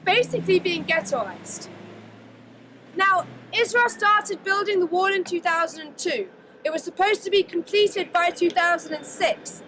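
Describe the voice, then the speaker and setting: A woman's voice: very high-pitched, measured pace, noisy background. A woman guide giving a matter-of-fact, informative explanation. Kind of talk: explanatory presentation.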